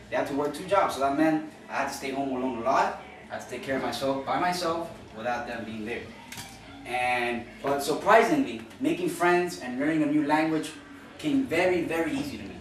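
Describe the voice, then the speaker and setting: A man's voice: medium pitch, fairly quick. A young man from Cuba giving an earnest, informal classroom speech as a college student, often repeating and restarting phrases. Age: young adult. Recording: echoey room from far off with noise.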